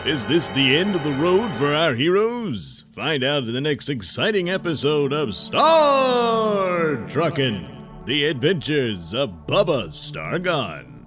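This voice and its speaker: A man's voice: on the high side, sing-song, takes it slowly, music in the background. A man narrating a sci-fi comedy serial in a mock-dramatic scripted style.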